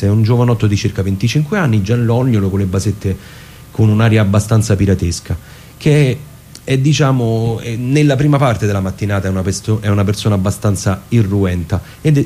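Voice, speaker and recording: A man informally retelling a literary story, haltingly and searching for words. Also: lowish voice, slight background noise. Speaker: radio performer summarising a story. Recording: radio broadcast with loud guitar music. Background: plucked guitar music.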